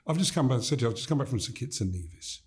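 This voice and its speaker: An older man from Gambia, a leadership coach, talking in an interview in a measured, emphatic way that builds points through repeated phrases. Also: medium pitch, animated. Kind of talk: reflective interview conversation.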